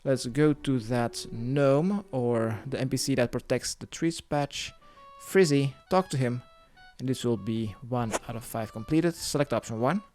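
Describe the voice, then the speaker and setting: A man's voice: medium pitch, measured pace, darkish tone. A man narrating a step-by-step video game guide, giving plainly worded instructions as a tutorial voice-over. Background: music.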